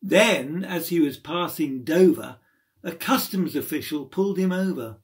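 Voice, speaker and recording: Middle-aged man, slightly high voice, theatrical rhyming story reading, indoors. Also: a little slow. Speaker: poem reader. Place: small room at home.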